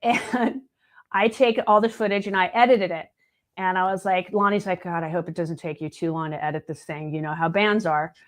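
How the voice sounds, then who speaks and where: A woman's voice: lowish voice, steady tone, moderate pace. A woman in her late fifties chatting casually and telling anecdotes, acting out what other people said. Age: late 50s. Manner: chatty, anecdotal, acts out quoted dialogue. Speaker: guest, singer and video editor. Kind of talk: casual chat, storytelling.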